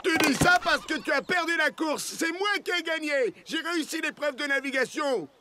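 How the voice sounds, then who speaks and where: A performer voicing a whiny, boastful, childlike young ogre in an animated cartoon. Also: high-pitched, normal speed, very noisy, natural voice. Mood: defiant, stubborn. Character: whiny, boastful, tantrum-prone young ogre who wants to be a pirate. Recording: loud music behind the voice.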